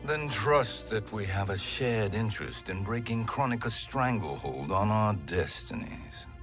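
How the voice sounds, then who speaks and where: A sly scheming sorcerer. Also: male voice, lowish voice, lively intonation, measured pace. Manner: smooth, calculating, sly. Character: scheming treacherous male sorcerer, silky and sly. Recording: game soundtrack with loud music.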